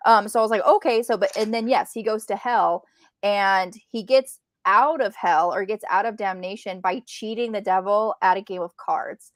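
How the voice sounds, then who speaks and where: An American woman with an average-pitched voice and a close, dry sound co-hosts a book chat. She explains things conversationally, slipping into quoted asides as she goes. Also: normal speed, no echo. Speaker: book readalong co-host.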